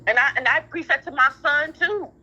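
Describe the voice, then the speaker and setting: A woman's voice: high-pitched, fairly quick, bright, forceful tone. A middle-aged woman talking bluntly and emphatically in a heated casual phone conversation, often repeating herself and swearing. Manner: emphatic, repetitive, blunt with profanity. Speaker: parent in a phone discussion. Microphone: phone call. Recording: muffled telephone-like audio.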